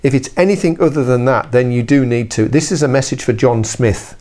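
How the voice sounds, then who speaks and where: A man's voice: mid-range voice, brisk, darkish tone. British man, chatty informal presenter. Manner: chatty, self-correcting, with wry asides. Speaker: business trainer presenting a video blog. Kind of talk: informal instructional talk to camera.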